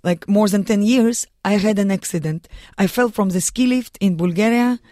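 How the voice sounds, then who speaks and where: A woman with a Russian accent answers interview questions in earnest, halting English full of restarts. Her voice sits at a middle pitch. The studio sound is close and dry. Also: dark tone, dry recording. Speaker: guest, mountaineer and lawyer. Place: radio studio.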